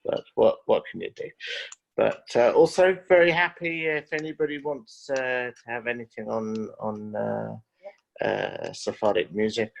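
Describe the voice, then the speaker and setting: A man introducing himself in an online class, jovial and halting with many fillers, on a video call. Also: medium pitch, gravelly. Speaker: class participant. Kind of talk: casual introduction.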